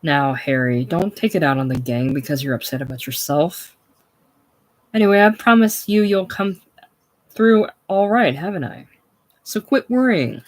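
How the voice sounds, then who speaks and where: Falsely cheerful salesman, cajoling and loud, played by a reader. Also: medium pitch, normal speed, close to an everyday voice, low-quality recording. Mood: jovial. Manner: jovial, cajoling, raised and bright. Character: hearty pushy male salesman, falsely cheerful.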